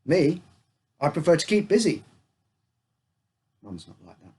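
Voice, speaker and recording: A man's voice: fairly high pitch, very animated, a little slow, a little mellow, husky, pitched above the performer's own voice, heavily acted. A man voicing an evasive father in a story, curt and guarded under a chummy act.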